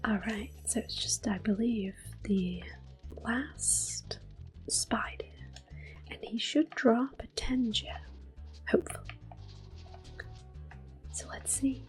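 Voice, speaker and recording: A woman softly whispering gentle, unhurried ASMR commentary as they play a video game, explaining each step in a breathy voice. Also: fairly high pitch, soft background music. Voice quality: breathy whispering, soft-spoken. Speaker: gaming ASMR video host. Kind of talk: soft-spoken ASMR gameplay commentary.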